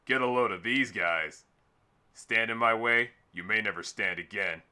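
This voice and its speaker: A man's voice: fairly low pitch, normal speed, pushed and bright, smooth. A man playing a tough, intimidating fantasy enforcer, blunt and threatening, for an animation audition. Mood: menacing. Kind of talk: character voice acting audition.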